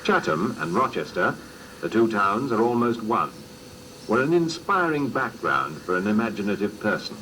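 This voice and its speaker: Lively male documentary narrator on noisy tape. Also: on the low side, normal speed. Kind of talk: scripted narration. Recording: noisy old cassette recording.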